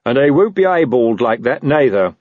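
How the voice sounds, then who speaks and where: Put-upon corporal for comic fantasy audiobook, man acting, high anxious wail, very animated, always trying to soothe. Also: brisk, dry recording, phone-quality sound. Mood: defensive. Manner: high-pitched, flustered, wailing.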